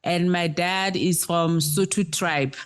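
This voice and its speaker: A woman's voice: fairly low pitch, fairly even intonation, slow, clean tone. A South African woman co-hosting a podcast, chatting casually and telling stories with light laughter. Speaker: podcast co-host. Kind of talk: casual chat.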